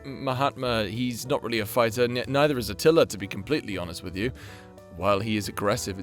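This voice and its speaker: A man hosting a channel with casual, sweary, rambling commentary in an informal, chatty way. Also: mid-range voice. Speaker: creator and host of a fan-facing channel.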